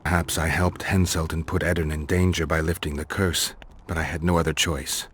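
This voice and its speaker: An actor playing a stoic monster hunter in a video game, low and gravelly, with a laconic, deadpan, dry delivery. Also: male voice, brisk, slight background noise. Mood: resolute.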